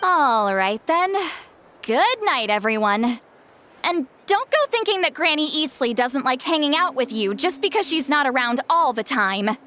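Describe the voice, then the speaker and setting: A woman's voice: high voice, animated, measured pace, bright, forceful tone, slight background noise, exaggerated voice. A woman voicing a huffy, world-weary, self-deprecating elder who calls herself granny, in a video game. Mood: fond.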